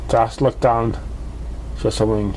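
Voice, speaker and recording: A man narrating a scripted story as an amateur reader, halting and stumbling over words. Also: on the low side, level tone, a little mellow, a little hiss or hum, poor audio. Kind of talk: scripted fan fiction narration.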